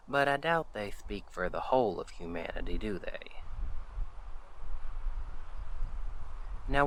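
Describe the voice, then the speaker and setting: A man playing a friendly, gentlemanly Old West sheriff in a western audio roleplay, folksy and reassuring as he talks to the listener. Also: lowish voice, slight background noise, heavily acted, low-quality recording. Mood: cautioning, curious. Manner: folksy, unhurried, reassuring cowboy talk.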